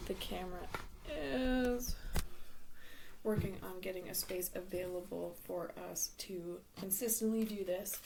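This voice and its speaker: A woman's voice: medium pitch, background noise. Woman with a fading voice, tarot reading. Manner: relaxed, many ums, repeats words. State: losing her voice, coughing. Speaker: tarot reader. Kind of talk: casual tarot reading to camera.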